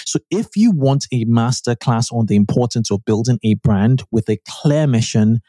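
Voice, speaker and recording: A man's voice: mid-range voice, warm tone, dry recording. A man hosting a business podcast, reading an intro and then interviewing a guest, often repeating words as he asks questions. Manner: halting, repeats words when asking questions. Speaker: podcast host. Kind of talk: podcast interview with read sponsor ad.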